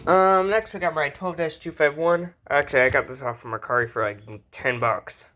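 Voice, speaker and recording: A man's voice: a little high, steady tone, normal speed, sharp tone. A man talking casually and informally as he shows off a collection, rambling as he goes. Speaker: host showing a radio collection. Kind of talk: casual hobby show-and-tell.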